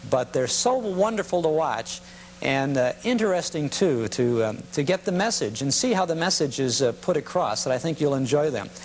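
A man hosting a nostalgia broadcast, introducing material in a conversational, halting, explanatory way. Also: on the high side, animated. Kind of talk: casual unscripted introduction of vintage commercials. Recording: clearly noisy old TV tape with hum.

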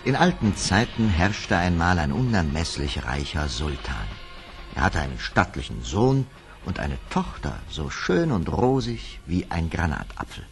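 A man narrating a children's fairy tale from a script in a dramatic, measured storytelling manner. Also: deep voice, very animated, faint music behind.

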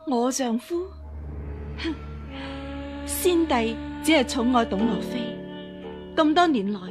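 An actress in a radio drama playing a frightened, scheming fake empress who pleads and stammers. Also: female voice, sits high, animated, slow, over music. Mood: bitter. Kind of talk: Cantonese radio drama acting.

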